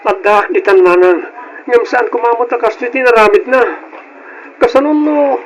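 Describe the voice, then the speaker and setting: Man acting an anxious, high-pitched younger brother. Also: lively intonation, moderate pace, background noise. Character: worried young student, devoted younger brother, earnest. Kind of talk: Ilocano radio drama acting.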